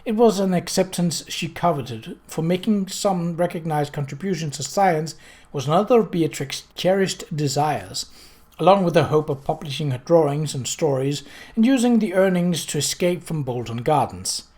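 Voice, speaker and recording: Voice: medium pitch, lively intonation, moderate pace, darkish tone. Audiobook narrator, middle register, scripted storytelling from a novel.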